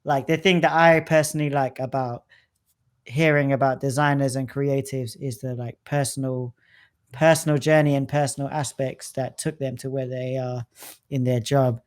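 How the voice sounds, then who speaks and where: A man's voice: medium pitch, fairly even intonation, normal speed. A man hosting a casual podcast interview, chatty and enthusiastic with lots of fillers. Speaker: podcast host.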